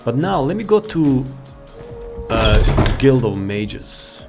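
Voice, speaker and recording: A man hosting a gaming video in an informal, meandering way, at an average pitch. He chats as if to friends, and faint music runs behind him. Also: very animated, slow pace, creaky. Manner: rambling, informal, easily sidetracked. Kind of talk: casual game mod showcase commentary. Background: faint game music.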